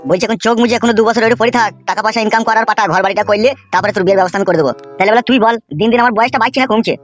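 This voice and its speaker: A man's voice: sits high, racing, fairly bright tone, soft background music. A man voicing a foul-mouthed young cartoon villager, complaining crudely and emphatically. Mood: aggrieved. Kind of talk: comic Bengali cartoon dialogue.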